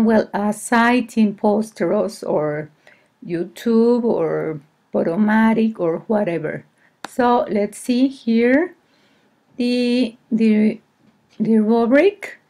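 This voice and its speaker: Mexican lady teacher, kinda high voice, slow and halting, lots of self-corrections. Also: darkish tone. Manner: hesitant, halting, corrects herself often. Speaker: English teacher. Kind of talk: screen-recorded presentation to colleagues.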